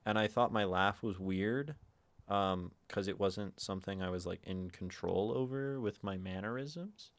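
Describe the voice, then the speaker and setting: Man, deep voice, gravelly fry, soft meandering stream chatter, close mic. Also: unhurried. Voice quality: naturally low voice. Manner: soft, meandering, lots of fillers; prefers talking quietly and a little higher. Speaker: livestream host. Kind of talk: casual livestream chat.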